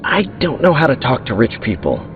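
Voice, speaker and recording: A man's voice: medium pitch, big pitch swings, fairly quick, noisy background, close to an everyday voice. An actor playing an eager, awkward young male superhero in a video game, earnest, chatty and a little hesitant. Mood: sheepish. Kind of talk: video game dialogue acting. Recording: tinny band-limited game audio, music behind.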